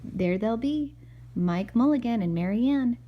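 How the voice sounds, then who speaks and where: A woman's voice: a little high, lively intonation, measured pace, highs cut off. A woman reading a picture book aloud to a child as a mother, expressively, with chatty asides and questions.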